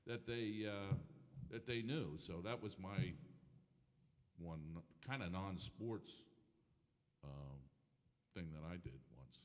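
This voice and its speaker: Older man with a very deep voice. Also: slow, long pauses. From Pennsylvania. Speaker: panelist, former sportswriter. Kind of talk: personal anecdote on a panel. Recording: hall reverb from a lecture room.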